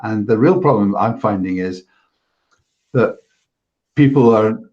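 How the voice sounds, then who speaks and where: Low-voiced man on dull lo-fi audio. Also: a little slow, a little mellow. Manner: earnest, restarts phrases. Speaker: guest, STEM ambassador. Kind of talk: casual discussion.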